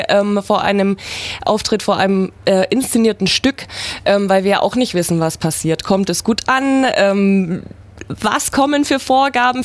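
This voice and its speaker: A woman's voice: mid-range voice, no room sound. Woman, improv club leader, chatty and enthusiastic. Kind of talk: radio interview.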